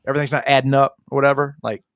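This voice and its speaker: A man's voice: mid-range voice, little pitch movement, racing, clean tone, dry recording, studio quality. A man co-hosting a gaming podcast, talking in a loose, slangy, repetitive way as he chats casually. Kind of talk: casual chat, counting down favorites.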